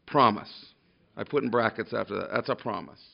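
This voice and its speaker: A man preaching a sermon to a congregation, loud and emphatic, often restarting his sentences. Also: fairly low pitch, a little fast, creaky. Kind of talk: sermon with scripture reading. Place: church room with a congregation. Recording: dull lo-fi sermon recording.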